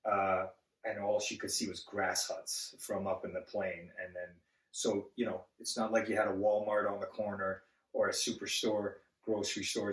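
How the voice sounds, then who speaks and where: A man with a fairly low voice answers interview questions, often restarting and stumbling over his words as he speaks earnestly. He sounds set back from the microphone. The room around him is roomy and echoing. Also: fairly bright tone, recorded from a distance. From Zambia. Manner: halting, many restarts and fillers, earnest. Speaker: guest. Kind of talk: casual interview.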